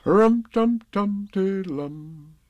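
A man voicing a boy who sings nonsense syllables in a toy bear's voice, drawn-out and carefree, for a children's story. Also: sits high, warm tone, no echo, highs cut off, voice pushed up, full character voice. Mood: carefree, singing. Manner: sing-song, drawn-out humming. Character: boy singing in a slow, mellow toy-bear voice.

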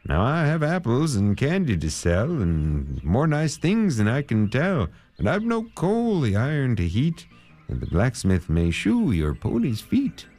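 A man's voice: mid-range voice, wildly swooping pitch, unhurried, a little mellow, soft background music, voice pushed up. A man voicing a storekeeper who answers in rhyme. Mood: cheerful. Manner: rhyming, sing-song. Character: cheerful storekeeper refusing politely.

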